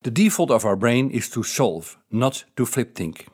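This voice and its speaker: Dutch man explaining ideas in measured English. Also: mid-range voice, big pitch swings. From Netherlands. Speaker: author. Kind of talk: reading his own book's ideas aloud.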